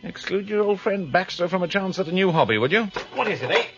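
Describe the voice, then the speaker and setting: An actor playing a nosy, alarmist friend with a British accent in a 1970s radio drama, chummy and melodramatic. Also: male voice, high-pitched, expressive, fast talker, husky, heavily acted. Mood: needling, suspicious. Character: nervy, nosy, alarmist male citizen friend. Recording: dull radio recording, faint music.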